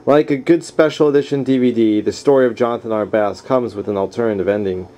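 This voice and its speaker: A man's voice: medium pitch, quick pace, mellow. A man reading a nonfiction book aloud in an informal, easygoing way, dropping in casual asides. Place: at home, household around. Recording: noisy home camera recording.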